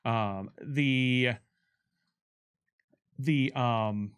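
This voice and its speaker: A man working as a game developer, talking casually on a livestream panel and answering questions in an explanatory, halting way as he thinks aloud. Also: medium pitch, clean tone.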